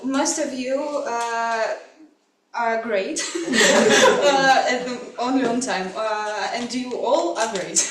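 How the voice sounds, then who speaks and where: A woman gives a brief timer's report, shy and hesitant, drifting off with small laughs. She speaks very slowly in a high voice, heard from a distance in an echoey room with clear background noise.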